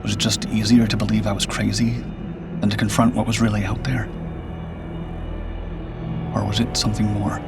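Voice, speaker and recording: A man narrating a scripted first-person suspense story in a measured, grave storytelling manner. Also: fairly low pitch, level tone, vocal fry. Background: background music.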